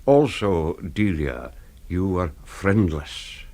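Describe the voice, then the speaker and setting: The older master of a secretive house in a radio play. His voice is very low and he speaks formally, with measured, cool condescension and a threat beneath the politeness. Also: very animated, warm tone, exaggerated voice. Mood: quiet menace. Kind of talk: radio drama acting.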